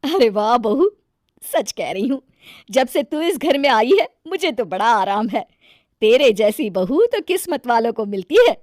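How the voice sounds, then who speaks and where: A woman voicing a middle-aged mother-in-law in an animated moral story, expressive and theatrical. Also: on the high side, unhurried, no room sound, studio quality. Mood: pleased, affectionate. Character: middle-aged city mother-in-law, affectionate but easily exasperated.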